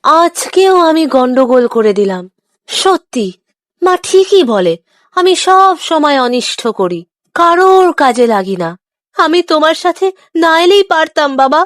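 A woman's voice: sits high, big pitch swings, unhurried, no room sound, clean studio sound. A woman voicing an earnest, sensitive, clumsy village boy in a moral cartoon, with a childlike manner. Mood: sad, guilty. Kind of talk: Bengali moral cartoon dialogue.

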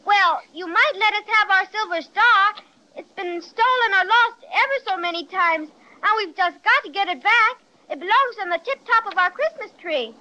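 Very high, earnest little girl. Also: expressive, moderate pace, bright, forceful tone, full character voice, poor audio. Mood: earnest, polite. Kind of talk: 1930s children's radio serial acting.

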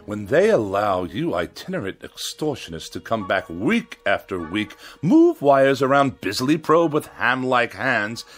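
An actor playing a pompous, irascible writer who despises machines, speaking in wordy, sneering sarcasm in a radio drama. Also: male voice, medium pitch, very animated, a little slow, hardly any pauses, soft background music. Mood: contemptuous.

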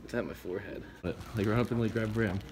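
A young man vlogging on a road trip, joking and rambling excitably to a phone held at arm's length. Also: fairly low pitch, fast. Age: young adult. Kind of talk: casual vlog chat. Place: road trip, car, mall and parking lot. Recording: loud background noise.

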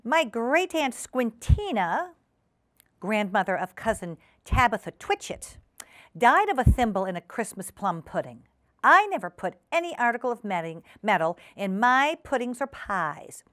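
Woman acting a high-pitched, prim lady cat hostess. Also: sing-song, unhurried, fairly bright tone, no echo. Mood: stern. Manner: prim, formal, oversweetly polite. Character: genteel, fussy female cat hostess, primly severe.

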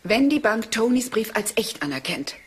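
An actress playing a cool, proud, guarded wife in a German crime drama, speaking in a clipped, defensive way. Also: female voice, a little high, very animated, moderate pace, a little hiss or hum. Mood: tense, defensive. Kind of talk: German dubbed TV crime drama as radio play.

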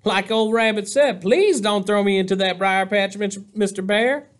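Man playing a wry, high-pitched schoolboy. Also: normal speed, natural voice. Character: wry, folksy schoolboy friend of the narrator.

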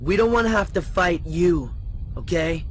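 A man's voice: high-pitched, lively intonation, unhurried, noisy background. Sarcastic, blunt teenage tough guy. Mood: concerned. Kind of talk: animated game cutscene acting. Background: music.

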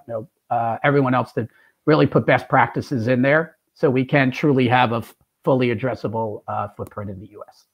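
A man answering on a video call panel, steady and upbeat with fillers. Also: mid-range voice, muffled recording. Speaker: sales executive panelist. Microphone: video call webcam.

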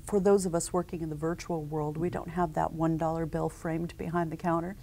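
A woman in her forties, a company founder, answering interview questions in a measured, businesslike way with frequent ums and uhs, recorded on a lapel mic at a conference set. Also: sits low, level tone, creaky, warm tone.

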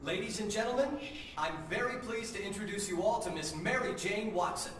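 A man's voice: sits high, brisk, a bit bright. A man playing a proud young fiancé giving a formal announcement speech in a game cutscene. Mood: proud, happy. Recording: very echoey, distant, faint noise.

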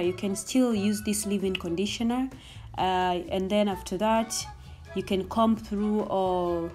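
A woman's voice: medium pitch, a little mellow. A young woman whose first language is Swahili, chatting in a casual hair tutorial, explaining each step and slipping Swahili words in. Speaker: hair vlogger.

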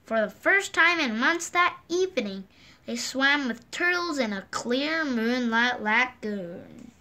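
A woman reading a children's picture book aloud at a gentle, unhurried pace, filmed indoors over the pages on a table, lifting her voice for the characters' lines. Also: sits high, animated, slight background noise. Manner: slow, gentle read-aloud pacing with lifted voices for quoted lines. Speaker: storybook reader. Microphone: phone or camera filming the book from above.

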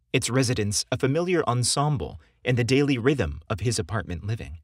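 A man narrates a scripted romance story with a widely moving, expressive pitch. His voice is slightly husky and measured, recorded dry with no room sound. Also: no echo.

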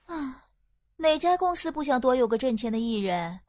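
A woman's voice: high-pitched, big pitch swings, normal speed, a little mellow, no echo, clean studio sound. A woman playing a shrewd, teasing music-business insider who coaxes in an audio drama. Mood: persuasive, scheming. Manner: coaxing, teasing. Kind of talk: radio drama acting.

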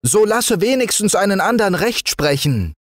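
A small, timid hare with a thin, high, strained voice, as a male reader puts him on. He pleads and protests, his voice climbing high and wide. The recording is close and dry, as if read into a bedtime storybook mic. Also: very animated, moderate pace, no echo. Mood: pleading.

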